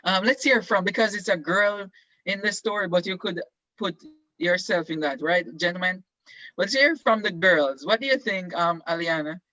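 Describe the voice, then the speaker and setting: A male teacher leading an online class discussion, halting with many ums as he prompts students with questions. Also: sits high, pushed and bright, no echo. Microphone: video call. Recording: dull, dry video call audio.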